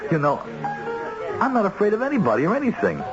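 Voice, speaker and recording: A man's voice: high voice, wildly swooping pitch, fast talker, dull audio. An actor playing a respectable family man turned self-assured hired killer in an old-time radio drama, speaking emphatically and insistently. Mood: boastful, fervent. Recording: band-limited old radio recording with music.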